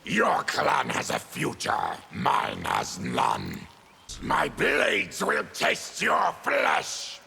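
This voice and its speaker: An actor playing a fierce, proud, embittered mutant warrior in a fighting game, growling and deliberate. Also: male voice, on the high side, wildly swooping pitch, sharp tone, a little hiss or hum, full character voice. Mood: fierce, defiant. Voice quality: gravelly, growling. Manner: menacing, deliberate. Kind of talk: fighting game character dialogue.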